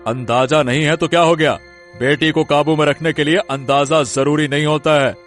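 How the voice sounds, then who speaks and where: A man's voice: a little high, steady tone, moderate pace, fairly bright tone, soft background music, natural voice. A man playing a stern, domineering, vengeful village big man in a cartoon story, scolding and threatening. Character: menacing, vengeful village big man scheming against a young woman. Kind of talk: Hindi cartoon story acting.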